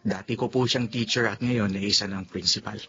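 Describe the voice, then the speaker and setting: A sincere, respectful young son. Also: male voice, fairly low pitch, fairly even intonation, a little fast, sharp tone, soft background music. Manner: polite, sincere. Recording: muffled radio-play recording with faint noise.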